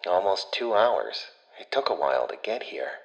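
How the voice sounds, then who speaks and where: Low-voiced ship AI played by a male narrator, caring and anxious, hushed urgency, wry familiarity, sci-fi audiobook. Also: very animated, moderate pace, lo-fi sound. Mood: matter-of-fact.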